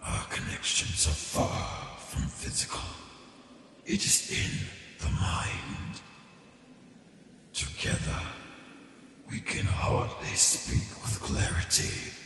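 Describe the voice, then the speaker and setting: A man voicing an ancient alien hive being who speaks slowly, solemnly and in a whispery way. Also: background noise, close to an everyday voice. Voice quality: gravelly, whispery. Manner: slow, solemn, grave. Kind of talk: fan dub of a sci-fi anime parody. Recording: echoey, reverberant with music behind.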